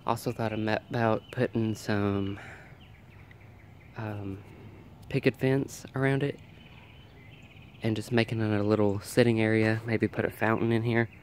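A man's voice: fairly low pitch, level tone, unhurried, long pauses, darkish tone, slight background noise. A man with a nasal, allergy-congested voice chats casually as he films a walk through his backyard garden. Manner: chatty, thinking aloud while filming. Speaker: garden vlogger.

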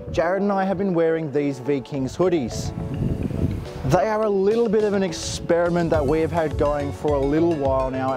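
Young Aussie male vlogger, chatty and upbeat, outdoor beach, music bed. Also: on the high side. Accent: Australian. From Australia. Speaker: fishing vlogger host. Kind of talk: casual outdoor vlog talk and narration.

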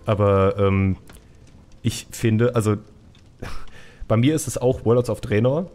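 A man's voice: on the low side, slow, a little mellow. A man hosting a casual gaming podcast, opinionated and colloquial, repeating words as he goes. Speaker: podcast host.

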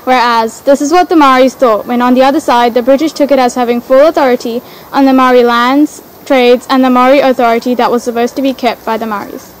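A woman's voice: high voice, fairly even intonation, fairly quick, slight background noise, poor audio. A young teenage girl reading narration for a school history video, careful and recited. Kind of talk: read-aloud school narration.

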